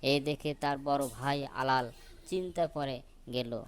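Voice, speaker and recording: Slow-paced man telling a children's tale. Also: mid-range voice, smooth. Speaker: story narrator. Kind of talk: children's folk tale narration.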